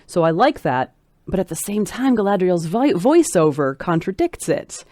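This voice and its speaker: Woman, expert interview guest: emphatic, scholarly delivery, mid to low register, very dry recording. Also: big pitch swings, normal speed, darkish tone, no echo. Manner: articulate, emphatic, scholarly phrasing. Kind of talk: analytical, explanatory interview commentary.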